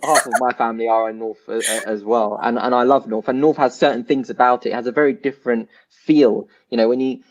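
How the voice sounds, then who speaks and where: A man from South London, a guest in a casual discussion, talking in an animated, thoughtful way with frequent restarts. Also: mid-range voice, quick pace, hardly any pauses, low-quality recording. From South London, England.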